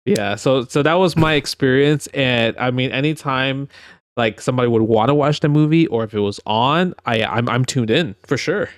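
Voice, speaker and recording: A man's voice: mid-range voice, normal speed, no room sound, clean studio sound. A man on a podcast telling personal anecdotes casually and enthusiastically, often repeating his phrases. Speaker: podcast host.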